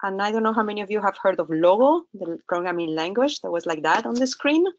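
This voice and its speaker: A woman's voice: medium pitch, expressive, quick pace. Argentine-accented woman giving a webinar lecture. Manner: conversational, asks the audience questions, some hesitations. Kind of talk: lecture presentation over slides. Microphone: computer microphone in an online webinar. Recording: slightly muffled webinar audio.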